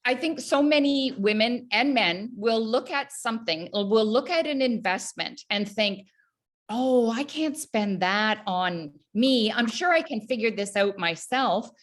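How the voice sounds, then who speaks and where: A woman's voice: fairly high pitch, lively intonation, unhurried. A woman from Canada, a health coach guest in an interview, talking earnestly with many fillers and restarts. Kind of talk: casual interview talk.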